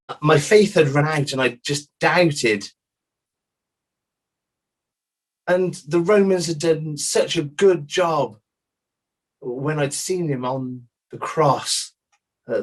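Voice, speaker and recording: A man playing a sincere disciple who once doubted, giving halting but emphatic testimony in a courtroom drama. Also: a little high. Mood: dejected.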